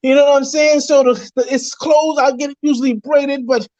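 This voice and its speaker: Boisterous Trinidadian man, high voice. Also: fairly even intonation, normal speed, a little mellow, no room sound. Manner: boisterous and slangy. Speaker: guest. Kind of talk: casual chat. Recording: dull dry lo-fi sound.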